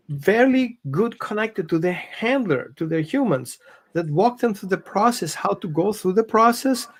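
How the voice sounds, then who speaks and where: A man, a dog behavior consultant explaining on a live show with phrasing and quoted examples. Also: sits high. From Greece and Austria.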